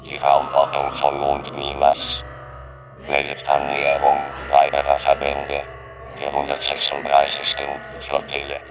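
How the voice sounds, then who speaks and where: A man's voice: extremely low pitch, completely flat delivery, measured pace, over music. A man giving scripted announcements of titles and reports.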